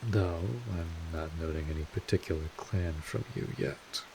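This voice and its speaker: A man playing a wry, laid-back vampire mentor in an intimate audio drama, casual and sardonic, with drawn-out pauses, protective and a little world-weary. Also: a bass voice, steady tone, creaky, noisy background, exaggerated voice. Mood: calm, explaining.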